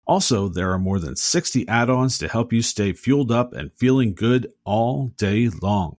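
A man's voice: on the low side, animated, moderate pace, a little mellow, no room sound, clean studio sound. A man who hosts a podcast, reading a scripted advertisement. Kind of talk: scripted ad read.

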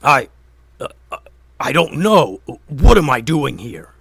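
A man voicing a confused, brutish male cave troll who shouts slowly and loudly. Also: medium pitch, big pitch swings, vocal fry, breathy edge, no room sound, heavily acted. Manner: loud, slow, bewildered shouting.

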